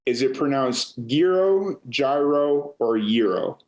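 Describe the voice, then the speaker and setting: A man in his thirties from Chicago's South Side hosting a casual podcast interview, talking in a relaxed, rambling way with many fillers. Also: mid-range voice, takes it slowly, poor audio. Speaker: podcast host. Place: dark home studio room. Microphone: studio mic with pop filter close in front.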